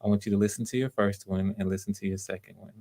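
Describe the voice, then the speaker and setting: A man's voice: fairly low pitch, steady tone, quick pace, warm tone. A man hosting live auditions casually, coaching in an encouraging way and often repeating his words. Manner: encouraging, hesitant repetitions. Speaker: audition host.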